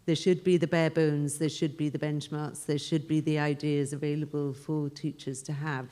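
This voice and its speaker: Woman, low pitch, panel discussion, explanatory, emphatic repetition. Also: level tone, normal speed, mellow. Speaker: panel speaker on education.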